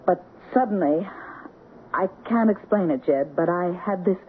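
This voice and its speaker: An actress with an American accent playing a dreamy, strong-willed wife, intense and halting in a 1970s radio drama. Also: female voice, fairly low pitch, expressive, close to an everyday voice. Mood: mystified, intense. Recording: tinny, noisy 1970s radio broadcast recording.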